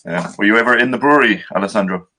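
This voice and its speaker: A man's voice: on the low side, very fast, pushed and bright. A man, a guest brewer, chatting in an easygoing way on a video call. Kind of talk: casual video call chat.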